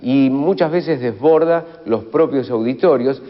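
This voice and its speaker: A man's voice: mid-range voice, a little slow. A man giving a hesitant, formally worded explanation as a documentary interviewee. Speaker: interviewed commentator. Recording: long reverb, muffled, faint music.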